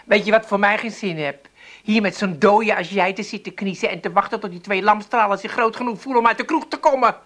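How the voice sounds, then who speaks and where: An actress playing a scolding Amsterdam housewife. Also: female voice, medium pitch, fairly quick, a bit bright, slight background noise, close to an everyday voice. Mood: complaining. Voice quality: sarcastic, scolding. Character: sharp-tongued Amsterdam housewife, fed up and nagging. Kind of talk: radio play acting.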